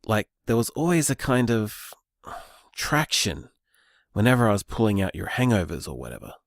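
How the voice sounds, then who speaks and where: A man's voice: fairly low pitch, moderate pace, gravelly, no echo, studio quality. An actor playing an earnest young man discovering luck magic, talking in a thoughtful, musing way. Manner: thoughtful, musing, lively delivery. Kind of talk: audio drama, voice-message monologue.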